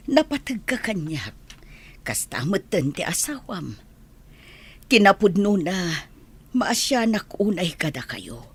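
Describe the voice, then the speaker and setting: An actress plays a kindly older mother in an Ilocano radio drama. Her voice is deep and husky, rising and falling widely. She sounds supportive, with an Ilocano accent. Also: exaggerated voice. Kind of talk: Filipino radio drama acting.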